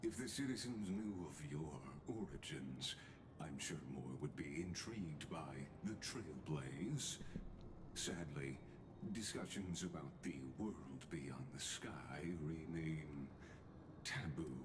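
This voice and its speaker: An actor playing a courteous, enigmatic elder from a video game, speaking in a formal, measured, deferential way. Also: male voice, rumbling low, lively intonation, background noise, heavily acted. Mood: measured, sage.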